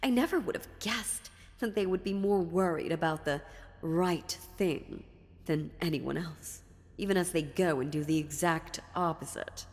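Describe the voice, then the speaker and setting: A woman playing an ancient, sly and dominant female demon in a dark fantasy audio roleplay, taunting theatrically with deliberate pauses. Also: lowish voice. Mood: musing, scornful. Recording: long reverb, music underneath.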